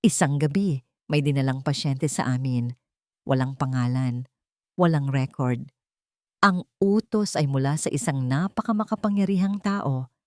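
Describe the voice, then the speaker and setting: A woman's voice: a bass voice, a little slow, no room sound, studio quality. A woman voicing a tired, grave elderly former nurse in an audio romance drama, confiding in a weary voice. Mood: grave, haunted. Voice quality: weary, trembling.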